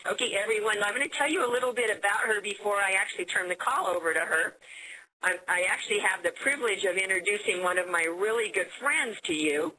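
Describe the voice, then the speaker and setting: An American woman hosting a business conference call, giving an upbeat sales pitch and often repeating words as she goes. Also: fast, pushed and bright, rough recording. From United States.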